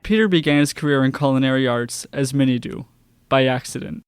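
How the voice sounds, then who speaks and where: A man's voice: medium pitch, brisk. A man, working as a radio interviewer and reporter. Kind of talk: interview questions and radio narration. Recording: faint background noise.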